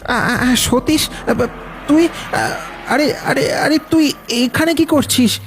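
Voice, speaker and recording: A man playing a startled village fisherman in a horror cartoon, stammering and halting. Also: very high-pitched, soft background music, exaggerated voice. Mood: shocked. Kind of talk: dramatic horror cartoon voice acting.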